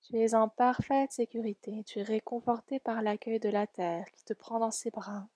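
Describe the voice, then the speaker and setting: A woman leading a guided relaxation meditation in a soft, soothing, gentle and unhurried way. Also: on the high side, fairly even intonation, dry recording. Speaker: yoga nidra guide.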